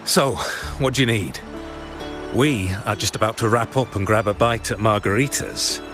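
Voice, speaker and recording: A man's voice: fairly low pitch, normal speed, natural voice. An actor playing a brusque, busy senior henchman in a video game, talking in a curt, no-nonsense way. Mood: brusque, impatient. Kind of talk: scripted video game character dialogue. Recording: music behind the voice.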